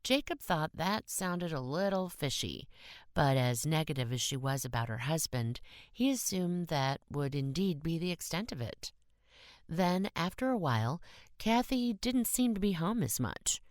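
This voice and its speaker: A woman's voice: low voice, expressive, a little slow, no room sound, studio quality. A woman reading a short story as an audiobook narrator in a scripted style.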